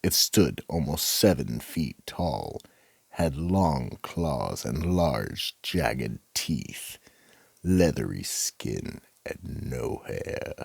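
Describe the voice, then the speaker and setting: A man playing a sinister, menacing horror storyteller with a gravelly, husky voice, narrating a radio drama theatrically. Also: very deep voice, very animated, extremely slow, no room sound, heavily acted. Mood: ominous. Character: sinister psychotic horror storyteller, keeper of the vault. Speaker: host and narrator.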